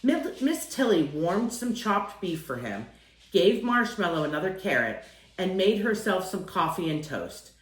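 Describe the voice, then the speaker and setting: A woman's voice: on the low side, sing-song, a little slow, reverberant, poor audio. A woman reading a children's picture book aloud as a storyteller, acting out phrases and lingering on key words.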